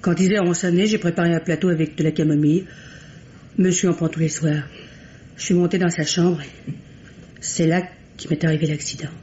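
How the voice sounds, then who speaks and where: A woman's voice: lowish voice, fairly even intonation, fairly quick, pauses often, dark tone, close to an everyday voice. A nervous female household maid played by a radio actress speaks in a hesitant, deferential, colloquial way. It is old French mystery radio drama. The recording is dull and lightly noisy. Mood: anxious recollection. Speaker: radio actor.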